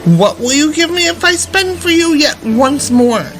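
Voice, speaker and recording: A woman's voice: high-pitched, expressive, unhurried, over music, voice pushed up, poor audio. Lady doing a tiny magic little guy from a fairy tale, sly, pushy, always making deals. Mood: sly, bargaining. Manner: bargaining, insistent.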